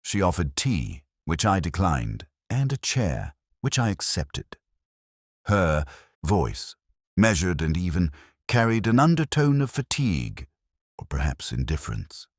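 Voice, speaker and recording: A man playing a melancholy, erudite older police inspector, narrating a detective story in a measured, reflective and wry literary style. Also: sits low, big pitch swings, no echo, studio quality, full character voice. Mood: brooding, reflective. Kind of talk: slow literary first-person detective narration.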